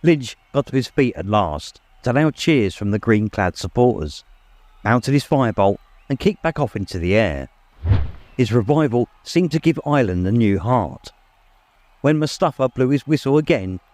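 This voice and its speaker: A man's voice: mid-range voice, big pitch swings, moderate pace, no echo. A man narrating a story in a dramatic, scripted audiobook style.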